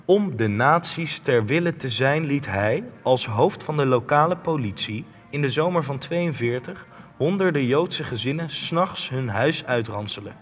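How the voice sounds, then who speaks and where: A young actor playing a blunt, relentless interviewer in a radio play, probing and insistent. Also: male voice, medium pitch, lively intonation, normal speed, lightly acted. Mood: grave, accusing. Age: young adult. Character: blunt, relentless male interviewer confronting a war veteran. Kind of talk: Dutch-language radio play acting. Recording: long echoing hall, music underneath.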